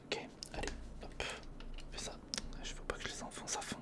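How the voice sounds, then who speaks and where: An ASMR creator, speaking in a breathy whisper close to the microphone, casually talking through hands-on work and repeating short phrases. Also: brisk. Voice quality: breathy, mostly unvoiced whisper. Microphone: close studio recorder microphone.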